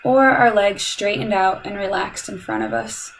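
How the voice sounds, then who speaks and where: A woman leading a guided meditation in a calm, soothing, measured way, leaving long pauses between instructions. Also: mid-range voice, a little hiss or hum, low-quality recording.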